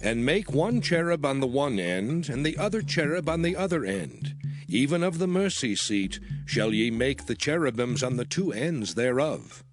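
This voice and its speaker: A man reading scripture aloud as dramatized audio Bible narration, formal and solemn, with measured phrasing. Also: medium pitch. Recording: prominent music under the voice. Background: music.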